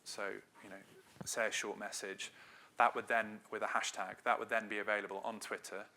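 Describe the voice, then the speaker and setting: A man's voice: fairly low pitch, level tone, brisk, pushed and bright. A middle-aged man from Wales giving a conversational conference presentation as a marketing director, with loose phrasing and frequent hedges.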